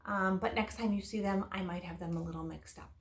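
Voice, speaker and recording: A woman's voice: mid-range voice, steady tone, a little fast. Woman teaching children, echoey room. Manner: simple, child-directed explaining with casual wording. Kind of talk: informal children's lesson and story time. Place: indoor room. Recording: echoey room, faint noise.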